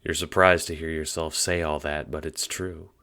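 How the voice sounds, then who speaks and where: A man's voice: rumbling low, flat delivery, pushed and bright. A man narrating an audio drama in the second person. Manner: measured storytelling. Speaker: narrator and writer.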